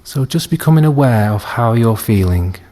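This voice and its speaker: A man leading a guided meditation as a teacher, speaking gently and soothingly with long unhurried pauses. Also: medium pitch, lively intonation. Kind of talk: guided body scan meditation.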